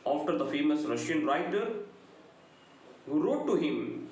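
A middle-aged man with a South Indian accent gives a prepared literature lecture in deliberate, measured short phrases. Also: a little high, big pitch swings, a little mellow. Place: indoor room, very reverberant. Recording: very echoey room, noticeable background noise.

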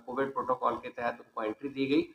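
A man's voice: medium pitch, flat delivery, fast, highs cut off. A middle-aged man giving a formal, methodical explanation as an exam coordinator, recorded in an office. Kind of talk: explanatory on-camera statement. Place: small indoor office. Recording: echoey room with faint noise.

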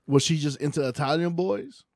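A man's voice: a little high, creaky, darkish tone, dry recording. A man in his thirties from New York, co-hosting a comedy podcast in a small studio and teasing bluntly into a studio mic with headphones on. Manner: teasing, quick laughs, blunt. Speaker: podcast co-host. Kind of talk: casual comedic banter.

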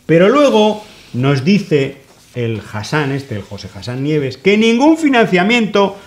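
A man's voice: fairly high pitch, wildly swooping pitch, a little slow. A middle-aged man speaks, his delivery sarcastic and mocking. He sits in a small office studio hosting a political commentary conversation. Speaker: host.

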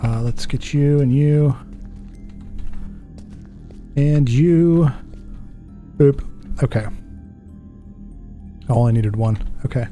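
A man's voice: mid-range voice, slow pace, pauses often, warm tone, faint music behind. A man in his thirties on a headset, casually narrating a puzzle game while muttering to himself, puzzled and self-deprecating. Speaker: gaming streamer. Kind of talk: casual let's-play commentary.

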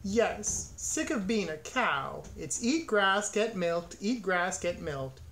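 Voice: medium pitch, sing-song, slow, fairly bright tone, a little hiss or hum, full character voice. A reader voicing a stubborn, weepy cow in a picture book, declaring and wailing theatrically. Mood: weary, tearful. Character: stubborn, dramatic, weepy cow longing for the moon. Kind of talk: picture book read-aloud character voice.

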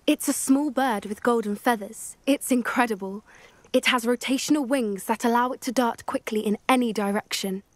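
A woman's voice: fairly high pitch, normal speed, dry recording, natural voice. A young woman with a British accent playing an earnest, articulate teenage witch who protects magical creatures in a video game. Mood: earnest, informative. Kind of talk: video game cutscene acting. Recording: music underneath. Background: music.

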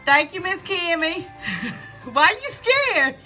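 A woman's voice: very high voice, big pitch swings, slow pace, music in the background, low-quality recording. A young woman hosting a livestream makeup demo, chatty and giggly as she greets viewers by name, on a close phone mic in a small room. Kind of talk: casual live makeup demo. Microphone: phone held close.

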